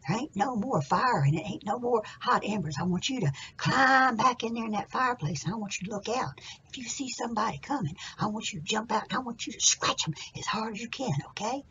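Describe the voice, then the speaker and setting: A woman's voice: fairly high pitch, animated, a little fast, pushed and bright, dull audio, a little hiss or hum. A woman voicing a clever, bossy boy hero in a folktale, with a coaxing, conspiratorial manner. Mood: hushed, scheming.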